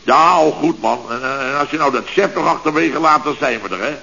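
A man's voice: on the high side, brisk, creaky, bright, forceful tone. An actor playing a bumbling, self-important male contractor boss in a radio comedy, stammering and repeating himself as he gives orders. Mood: exasperated, commanding. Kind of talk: 1970s Dutch radio comedy serial acting. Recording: dull, noisy vintage radio recording.

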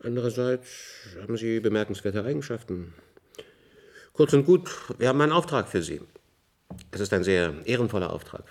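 Radio drama: formal, authoritative leader of underground survivors, low register, measured and fatherly delivery. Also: lively intonation. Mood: official, earnest. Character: authoritative male senior official of an underground survivor community. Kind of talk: German science-fiction radio drama acting.

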